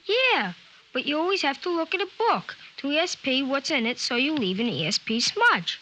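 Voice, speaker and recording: A woman's voice: high-pitched, sing-song, moderate pace, bright, forceful tone, heavily acted, rough recording. A woman playing a brainy, argumentative little boy in 1950s radio drama, with an insistent, superior manner. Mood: scornful. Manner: know-it-all, insistent. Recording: hissy 1950s radio recording.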